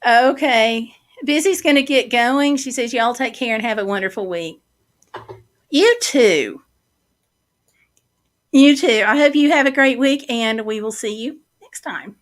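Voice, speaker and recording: A woman hosting a casual livestream and reading out viewer comments, talking slowly with many fillers while her voice is going hoarse. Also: a little high. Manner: slow, chatty, many fillers. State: losing her voice, throat clearing. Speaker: livestream host.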